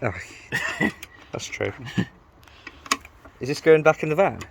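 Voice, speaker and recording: A croaky-voiced man filming his van build, chatting casually and asking questions while working in a garage workshop. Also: fairly high pitch, expressive, slow, a little hiss or hum. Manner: chatty, jokey, asks lots of questions.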